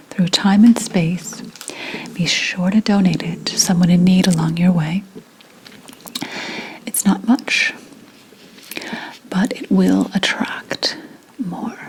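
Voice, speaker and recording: A shrewd old-world witch, acted by a woman, murmurs her counsel in a hushed ASMR whisper. She takes her time over each phrase, very deliberate and kindly, in a middling female pitch. Some noise sits behind her voice. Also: natural voice, poor audio. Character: shrewd, self-reliant female 17th-century witch, kindly advising.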